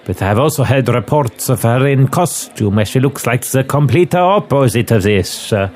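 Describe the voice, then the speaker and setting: Stern foreign police inspector played by a man, formal and halting, animated pitch, comic audio drama. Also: lightly acted. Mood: stern, weary.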